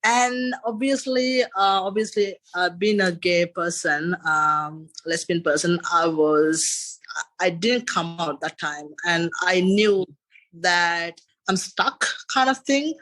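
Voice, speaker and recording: A man's voice: high voice, slow, pushed and bright. A man in his thirties with a Sri Lankan accent, a podcast guest telling his story in a casual, rambling way, often saying "and after that". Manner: rambling, repeats "and after that" and "you know". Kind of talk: casual interview chat.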